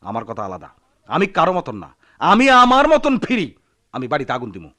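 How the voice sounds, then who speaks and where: Boastful hothead villager in a radio play, rural bangladeshi bengali accent, sharp highish voice. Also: male voice, huge pitch swings, fairly quick, full character voice. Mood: defiant, brooding. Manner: blustering, boastful, threatening. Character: hot-headed, defiant village man bent on selling his home. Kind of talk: Bengali radio drama acting.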